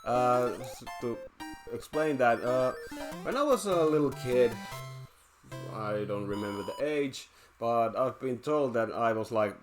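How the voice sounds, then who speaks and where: Man, Finnish accent, let's play host, slow and halting speech, many restarts, game music playing underneath. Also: mid-range voice, lively intonation. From Finland. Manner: hesitant, many restarts and filler words. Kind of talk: casual commentary while playing a video game. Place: at home playing on a TV. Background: video game music.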